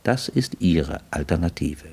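Mob boss, very low voice, polite n calculating, radio crime drama. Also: male voice, lively intonation, a little mellow, husky, exaggerated voice. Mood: businesslike, firm. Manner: courteous, deliberate, businesslike. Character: smooth, calculating male gangster syndicate boss.

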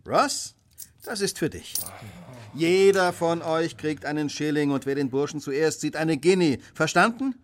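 An actor playing a brisk, self-assured detective who speaks with precise authority in a radio play. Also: male voice, on the high side, natural voice. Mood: confident, brisk. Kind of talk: German radio play acting.

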